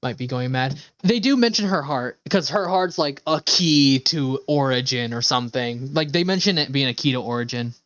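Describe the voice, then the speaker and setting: A man's voice: medium pitch, expressive, moderate pace, few pauses, a bit bright, no room sound, highs cut off. A man streaming a game, chatting casually and thinking aloud in a rambling, hesitant way. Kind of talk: casual livestream chat while playing.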